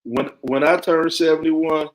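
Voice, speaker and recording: A man's voice: on the high side, fairly even intonation, measured pace, poor audio. A married man co-hosting a podcast, playful and laughing as he banters. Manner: playful, laughing, stretches for jokes with sudden jumps up. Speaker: podcast co-host.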